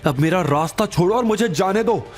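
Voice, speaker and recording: Audio drama young woman, played by a man, raised pitch, courteous, guarded, firm, music underneath. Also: expressive, fairly quick. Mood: angry, threatening. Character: frightened but firm young woman, polite and secretive. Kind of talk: audio drama character dialogue.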